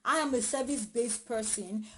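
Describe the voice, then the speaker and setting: A woman talks in a fairly high voice, chatty and direct, calling her viewers by name. She is recorded on a phone in a small home, with a faint hiss behind her. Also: normal speed. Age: 30s. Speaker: vlogger answering viewer questions. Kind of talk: casual live Q&A chat.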